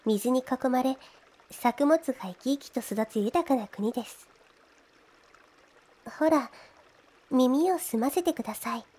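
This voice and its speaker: A woman voicing a gentle, devoted slime woman in an ASMR fantasy audio drama, speaking politely and a little shyly. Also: high-pitched, measured pace, long pauses. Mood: calm, reassuring. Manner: soft, polite, deferential, shyly hesitant. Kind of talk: Japanese ASMR situation voice drama.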